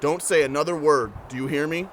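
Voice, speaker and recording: A man acting as a foul-tempered wasteland soldier in an audio drama. His voice is high and aggressive, barked out with hostility. There is faint noise on the recording. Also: brisk, lightly acted. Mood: furious. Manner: aggressive, barking. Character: hostile, foul-mouthed captured armored soldier.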